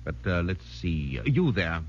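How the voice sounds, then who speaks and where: An actor playing an earnest foreign professor who lectures formally and emphatically in a 1940s radio drama. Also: male voice, sits low, big pitch swings, measured pace, a little hiss or hum. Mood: polite, questioning. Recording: muffled 1940s radio broadcast.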